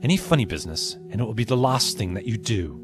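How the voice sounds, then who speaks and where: A man's voice: on the low side, lively intonation, fast talker, music in the background, natural voice. A man playing a stern, suspicious nineteenth-century naval officer in an audio drama. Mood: grudging, commanding. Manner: stern, accusatory.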